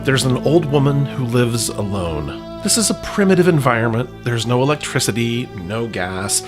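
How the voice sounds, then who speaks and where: A man's voice: mid-range voice, very animated, moderate pace, over loud music. A man hosting a podcast, reading scripted narration in a wry way with playful asides. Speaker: podcast host.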